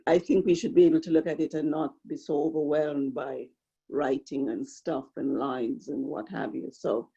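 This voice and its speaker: A woman's voice: sits low, steady tone, moderate pace, gravelly, warm tone, slightly rough, muffled recording. A woman speaking frankly in an online workshop discussion, plain-spoken and repeating her words, over a video call. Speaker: workshop participant.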